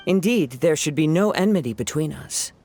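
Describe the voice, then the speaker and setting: A man voicing a calm, sharp young detective in a game, laying out his reasoning in a composed, analytical way. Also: a little high, moderate pace.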